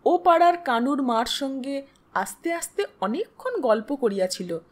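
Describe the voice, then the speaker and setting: Expressive high-voiced woman storyteller. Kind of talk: expressive literary audiobook narration.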